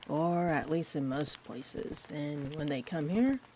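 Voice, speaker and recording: A woman playing a sweet, rambling young-looking vampire bartender in an intimate roleplay audio, speaking gently and hesitantly with whimsical tangents. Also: low voice, slow pace, a little hiss or hum. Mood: chatty, musing. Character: sweet rambling friendly female vampire bartender, looks twenty-four. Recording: steady rain ambience behind the voice. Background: rain.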